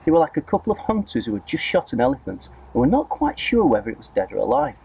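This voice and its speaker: Animated, slightly husky male storyteller. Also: mid-range voice, fast, rough recording. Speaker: storybook reader, school staff member. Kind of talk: expressive scripted children's story narration.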